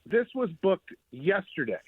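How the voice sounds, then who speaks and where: A man's voice: sits high, big pitch swings, a little slow, slightly rough, phone-quality sound. A man speaking as a sports writer guest on a radio show, chatting casually in anecdotes.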